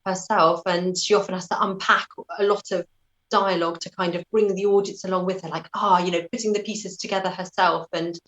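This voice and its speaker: Woman, British accent, lowish pitch, conversational interview guest, thoughtful, modest and hedging. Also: fairly even intonation, brisk, a bit bright, rough recording.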